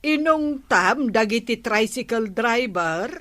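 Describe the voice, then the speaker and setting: A woman's voice: on the high side, lively intonation, slow pace, a bit bright. An actress playing a chatty, amused village woman in a radio comedy drama, with an Ilocano accent. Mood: curious.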